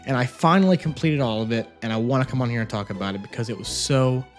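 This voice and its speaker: A man's voice: mid-range voice, quick pace. A man giving an enthusiastic, casual review as a vlog host, talking into a microphone in a home office. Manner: enthusiastic, gushing. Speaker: audiobook review video host. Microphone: separate microphone with phone camera. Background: music.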